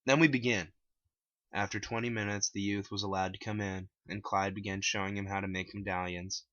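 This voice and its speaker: Forceful man reading a story aloud. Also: lowish voice, level tone, a little fast, no room sound, dull audio. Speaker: author reading his story. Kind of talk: dramatic first-person story reading.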